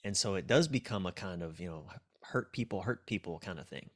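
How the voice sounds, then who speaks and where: A man's voice: low-pitched, animated, brisk. An American man in his forties speaking frankly and casually as an interview guest, reflective and prone to swearing. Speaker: relationship coach, podcast guest. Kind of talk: casual, candid interview talk. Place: small dim indoor room, video call.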